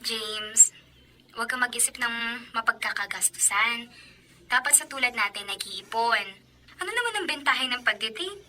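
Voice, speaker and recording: Young filipino woman, firm no-nonsense type, high sharp voice, radio drama. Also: lively intonation, moderate pace. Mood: firm, teasing. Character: principled, thrifty young working woman, feisty when cornered.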